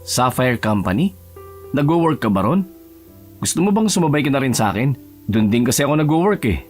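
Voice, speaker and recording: A man's voice: mid-range voice, lively intonation, fairly quick, a little mellow, faint music behind, close to an everyday voice. A man playing a friendly, talkative young office worker in an audio romance drama, casual and reassuring. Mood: friendly, helpful. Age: young adult.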